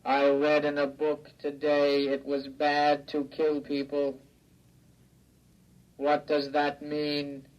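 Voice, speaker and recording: An actor voicing a naive, obedient robot with a harsh, droning, childlike delivery in old radio drama. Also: male voice, a little high, takes it slowly. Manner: flat, literal, repetitive. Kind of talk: 1950s radio drama acting. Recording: dull old radio broadcast, faint music.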